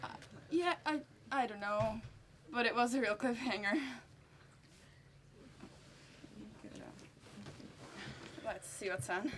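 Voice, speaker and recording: A young woman acting a depressed, lovesick and defensive sister in an amateur stage play, halting and emotional. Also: fairly high pitch, lively intonation, lightly acted. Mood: hesitant, evasive. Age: teenager or young adult. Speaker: student actor in a stage play. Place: indoor stage performance. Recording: noisy live stage recording with clicks.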